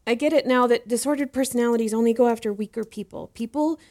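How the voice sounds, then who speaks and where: A woman acts as a young female student in a podcast drama. Her voice is fairly high, and she speaks earnestly and inquisitively, eager to learn and deferential to whoever is teaching her. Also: fast talker, darkish tone, natural voice. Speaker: voice actor in a fiction podcast. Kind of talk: acted audio drama dialogue.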